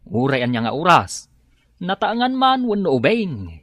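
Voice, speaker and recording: A devout, practical young employee in a radio drama. He speaks respectfully with an Ilocano accent and animated intonation. The sound is dry and close. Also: a little high, measured pace, no room sound, exaggerated voice. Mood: earnest. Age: 20s.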